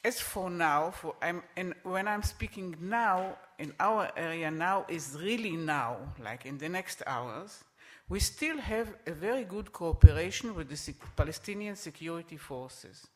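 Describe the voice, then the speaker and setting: A middle-aged man speaking on a conference panel, emphatic and repeating his points, with halting restarts. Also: high-pitched, lively intonation, a little mellow. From Israel.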